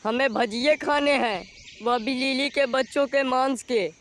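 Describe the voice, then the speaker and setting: A man voicing a stubborn, sulky young crow chick who makes demands in an animated moral story. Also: very high voice, unhurried, slight background noise. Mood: sulky demanding. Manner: sulky, insistent.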